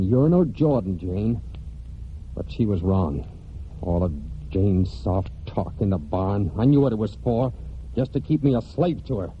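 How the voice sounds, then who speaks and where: A man's voice: sits low, a little hiss or hum, close to an everyday voice. An actor playing a plain-spoken, proud farmhand with folksy rural speech, telling his story in a 1940s radio drama. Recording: dull old 1940s radio broadcast.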